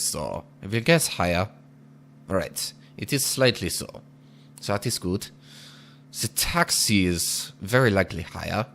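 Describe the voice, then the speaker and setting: A man giving casual gameplay commentary while speaking in a put-on, exaggerated foreign accent, measured and deliberate, chuckling now and then. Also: on the low side. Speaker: gaming video host.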